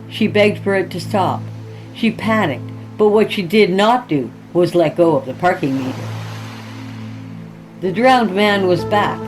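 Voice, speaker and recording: A woman's voice: lowish voice, low-quality recording. Woman narrating horror, music behind her. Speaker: podcast host and story narrator.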